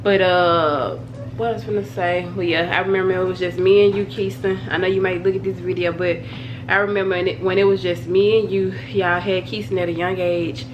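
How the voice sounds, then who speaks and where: A woman's voice: lowish voice, steady tone, a little fast, lo-fi sound. Stuffy-nosed woman vlogging with a cold. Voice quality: stuffy, nasal from congestion. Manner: chatty, rambling, addresses viewers as y'all. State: congested with a cold. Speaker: family vlogger. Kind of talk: casual vlog chat to camera. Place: bedroom at home, indoors. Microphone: phone camera held at arm's length. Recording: noisy home phone recording.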